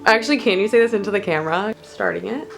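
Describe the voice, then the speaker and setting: A twenty-six-year-old American woman hosts her vlog in a chatty, casual way, sprinkling "like" through her sentences. Her voice sits at an ordinary pitch. Soft music plays quietly underneath. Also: vocal fry. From Ohio, United States. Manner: chatty, quick, lots of like. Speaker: vlog host.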